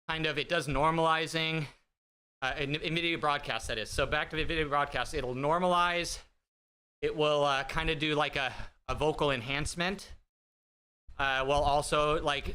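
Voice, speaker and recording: A man talks to his live viewers from a home streaming desk, rambling, self-deprecating and loose with fillers. His voice is bright, higher than most men's and very clean, picked up close on a desk mic. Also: smooth. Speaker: livestream host. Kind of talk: casual chat with live viewers. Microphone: desk streaming mic through an audio mixer.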